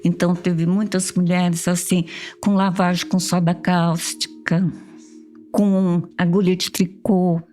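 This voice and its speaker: A woman in her seventies with a Brazilian Portuguese accent, slowly and reflectively recalling her years as a social worker in an interview. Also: lowish voice, level tone, dark tone, faint music behind. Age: 70s. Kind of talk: recorded interview testimony.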